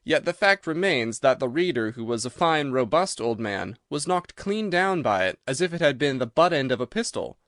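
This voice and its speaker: A man's voice: medium pitch, sing-song, normal speed, few pauses, a bit bright, dry recording, studio quality. A man voicing a cerebral, self-possessed detective who recounts his past in articulate, precise detail for an audiobook. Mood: assured, intrigued. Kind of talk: dramatised audiobook reading.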